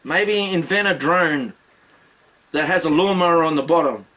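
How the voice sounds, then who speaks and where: A man's voice: a little high, normal speed, sharp tone, low-quality recording. A man hosting a casual chat, making dry jokes. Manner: dry joking. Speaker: host.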